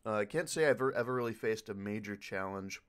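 A man with a fairly low voice speaks as a voice actor on a panel. His talk is casual and unscripted, as he answers questions off the cuff. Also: quick pace, fairly bright tone, clean tone.

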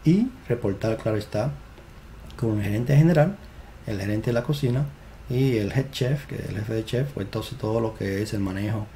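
A man's voice: on the low side, mellow, slight background noise. A man hosting an immigration channel, reading through job listings in a casual screen-share with frequent "eh" fillers and restarts, recorded on a desk webcam mic. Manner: halting, many "eh" fillers and restarts.

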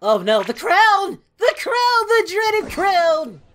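A woman voicing an excitable, goofy boyish cartoon hero, hosting a casual reaction video with theatrical exclamations. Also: very high voice, slow, heavily acted. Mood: excited, shrieking. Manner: theatrical, exclamatory, prone to squeals.

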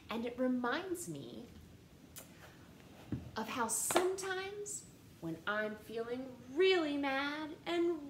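Gentle slow woman reading to children. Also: high-pitched. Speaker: storytime reader. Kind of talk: children's read-aloud. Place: living room, seated on a couch. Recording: echoey room with faint hiss.

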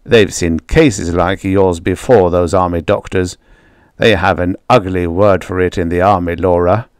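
A man playing a domineering father in a story, harsh, forceful and impatient. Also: sits low, steady tone, measured pace, clean tone, dry recording, clean studio sound. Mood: bitter anger. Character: stern, angry, domineering father and robot maker.

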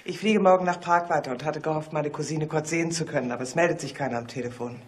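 An actress playing a dogged middle-aged police secretary turned amateur sleuth in a naturalistic German radio play. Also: female voice, deep voice, fast, close to an everyday voice. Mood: polite, feigned.

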